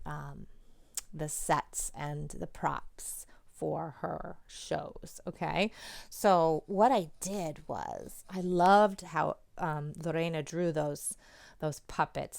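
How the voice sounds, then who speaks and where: A woman's voice: deep voice, creaky, no room sound. A woman talking casually and encouragingly to children, giving chatty craft instructions as a presenter. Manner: encouraging, chatty, addressing young viewers directly. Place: indoors at home, at a table.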